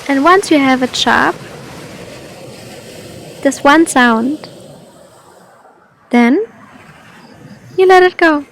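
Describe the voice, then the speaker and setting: A woman leading a guided meditation in a soft, gentle, soothing manner, leaving long pauses between phrases. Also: high-pitched, slight background noise.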